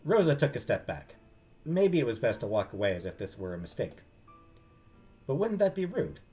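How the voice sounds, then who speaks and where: A man's voice: mid-range voice, big pitch swings, normal speed, dark tone, faint music behind. A man streaming a game, reading the story aloud expressively and dropping into casual asides.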